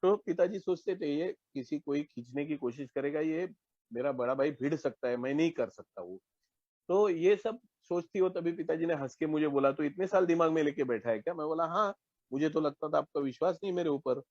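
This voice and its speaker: A Marathi man from Maharashtra, giving a candid, reflective personal testimony at an online support meeting, with hesitant pauses and restarts. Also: fairly high pitch, a little mellow, no echo, dull audio. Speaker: recovering member sharing at a support meeting.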